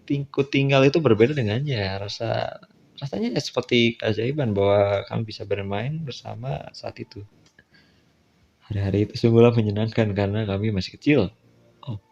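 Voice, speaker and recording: A man's voice: medium pitch, a little mellow. A man with an Indonesian accent reading game dialogue aloud, with casual, slangy side comments. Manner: slangy, informal interjections. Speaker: visual novel let's-play streamer. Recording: dull lo-fi sound with music. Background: background music.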